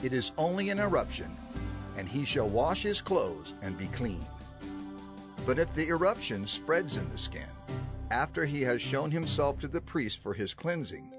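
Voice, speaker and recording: A man reading scripture as a scripted audio Bible narrator, solemn and measured, with emphatic phrasing. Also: mid-range voice, sing-song. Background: background music.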